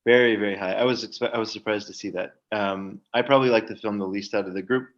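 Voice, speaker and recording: Measured man on a muffled mic. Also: fairly low pitch, steady tone. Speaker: podcast co-host. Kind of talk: casual film discussion.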